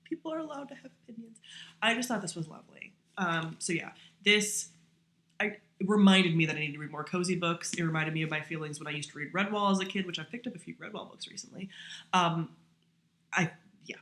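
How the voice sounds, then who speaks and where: A woman with a voice a little on the low side talks casually to camera as a book reviewer. Her delivery is informal and slangy, like chatting with friends. She drops in self-deprecating asides as she goes. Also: a little fast, fairly bright tone.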